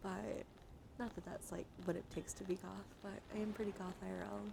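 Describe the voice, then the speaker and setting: A woman streaming ASMR in a soft, soothing, partly whispered voice, chatting in a meandering way with gentle repetition, close to the microphone. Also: mid-range voice, level tone, fairly quick, creaky, noisy background.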